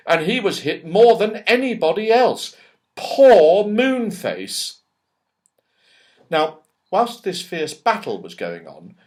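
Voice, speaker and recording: A man narrating a children's story as an audiobook, expressively, with dramatic emphasis and storyteller's phrasing. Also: on the high side.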